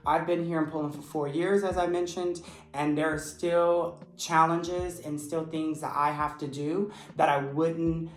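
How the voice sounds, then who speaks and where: A man who teaches English, giving a steady, earnest explanation in vlog style. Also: fairly high pitch, a little slow. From United States. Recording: echoey room with faint music. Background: faint background music.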